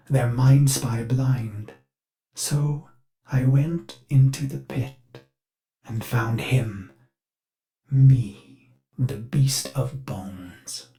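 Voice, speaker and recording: A devoted shipboard AI from a video game, speaking at a slow, deliberate pace. The delivery is polite and theatrical, with fretful servility over a brooding undercurrent. The pitch sits in a middle register. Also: male voice, darkish tone. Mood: brooding, confessional. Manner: formal, theatrical, measured, fretful. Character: anxious, devoted ship AI servant, fussy and polite, brooding beneath. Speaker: ship's AI assistant. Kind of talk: scripted video game dialogue.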